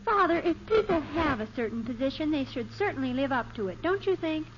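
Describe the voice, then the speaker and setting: A woman's voice: sits high, lively intonation, fairly quick. A woman playing an earnest, chattering American teenage girl who wheedles her father in a 1950s radio sitcom. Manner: breezy, earnest, gushing. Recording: dull, hissy old radio recording.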